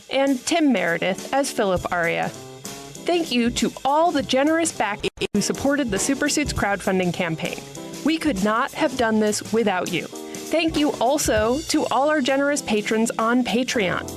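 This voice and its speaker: Female showrunner for scripted podcast end credits, voice on the higher side, light music underneath.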